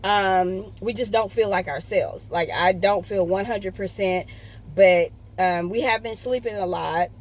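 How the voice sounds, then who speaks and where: A woman's voice: medium pitch, a little slow. A woman talking casually to her vlog audience in a hesitant, anxious manner. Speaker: vlogger. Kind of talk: casual personal vlog talk. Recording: music bed behind the voice.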